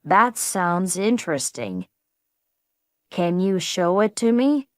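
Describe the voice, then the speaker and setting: A woman reading a friendly everyday speaker in a slow, carefully spaced practice dialogue for English learners. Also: lowish voice, big pitch swings, dry recording, studio quality. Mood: plain.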